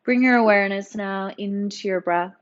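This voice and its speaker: Female yoga teacher for guided relaxation: soothing, gently instructive, mid-pitched, on slightly muffled lo-fi audio. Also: moderate pace. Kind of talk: guided meditation narration.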